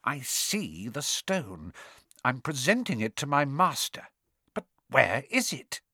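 A man's voice: medium pitch, very animated, slow pace, bright, forceful tone, husky, dry recording, studio quality, full character voice. A man voicing a cold, smug male villain in an audiobook, mocking and coolly casual in his menace. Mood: brisk, intent. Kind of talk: audiobook character acting.

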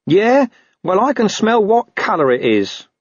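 A man's voice: sits high, sing-song, unhurried, no echo, dull audio, pitched above the performer's own voice, full character voice. A man voicing a wary, boastful talking dog with grumbling, streetwise speech in an audiobook. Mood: indignant. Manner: grumbling, cocky, uses rough slang and bad grammar.

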